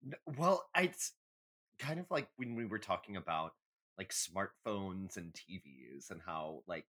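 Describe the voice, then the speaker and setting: A man co-hosting a podcast in casual, animated banter, joking as he goes. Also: sits low, measured pace. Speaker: podcast co-host.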